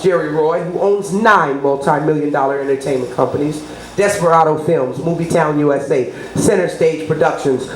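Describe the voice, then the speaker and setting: A middle-aged woman from New Orleans, a business consultant talking up her services as an interview guest, rambling from point to point with name-drops and asides about God. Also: sits low. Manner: rambling, salesy, name-dropping, religious asides. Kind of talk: promotional interview talk. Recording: echoey room, faint noise.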